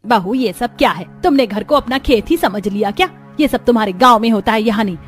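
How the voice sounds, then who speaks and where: Woman as middle-aged mother-in-law, strict, commanding, high voice, cartoon drama. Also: fairly quick, soft background music, close to an everyday voice. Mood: angry scolding.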